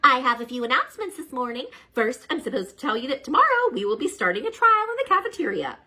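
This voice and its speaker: A woman voicing a cheery grown-up schoolteacher in a storybook reading, speaking bright, loud and sing-song. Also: sits high, sing-song, fast, husky, voice pushed up, heavily acted. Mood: cheerful.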